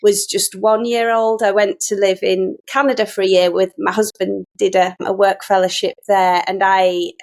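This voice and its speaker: A woman's voice: mid-range voice, little pitch movement, normal speed, few pauses. Chatty middle-aged Yorkshire woman. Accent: Yorkshire English. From Yorkshire, England. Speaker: guest, sewing teacher. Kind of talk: casual conversational storytelling.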